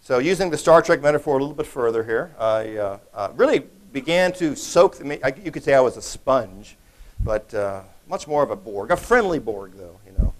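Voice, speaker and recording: A man's voice: mid-range voice, animated, moderate pace. Chatty man giving a keynote in a hall. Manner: conversational, joking, many restarts and fillers. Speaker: keynote speaker. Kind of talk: conference keynote talk. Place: large conference hall.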